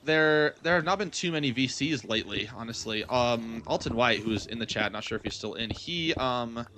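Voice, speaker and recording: Young adult male, game streamer; forward, bright tone, loose rambling delivery, some noise behind the voice. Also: mid-range voice, lively intonation, moderate pace. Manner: rambling, thinking aloud. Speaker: livestream gamer host. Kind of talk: casual chat while gaming, answering chat.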